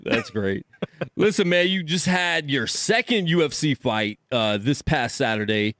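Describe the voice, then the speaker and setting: A man's voice: mid-range voice, animated, a little slow, fairly bright tone, no room sound. A man hosting a casual sports show, interviewing a fighter in an easygoing way and laughing often. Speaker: show host.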